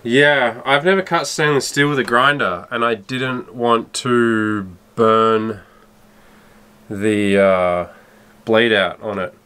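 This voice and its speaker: A man's voice: medium pitch, slow pace, pushed and bright, clean tone. A man in his thirties talking casually as he explains the repair work he is doing on a sailboat. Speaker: sailing vlogger doing boat repairs. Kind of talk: casual explaining while working. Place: inside a sailboat and on its deck. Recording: noisy on-location camera audio.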